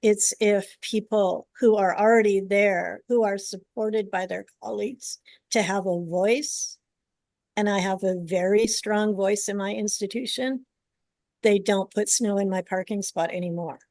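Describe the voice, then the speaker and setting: An older woman from Canada speaks as a panelist, earnest and emphatic. Her voice has a gravelly edge and sits in a middle pitch. The sound is dry and close. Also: steady tone, a little slow, darkish tone, no room sound. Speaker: panelist, genetics teacher. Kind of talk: panel discussion remarks.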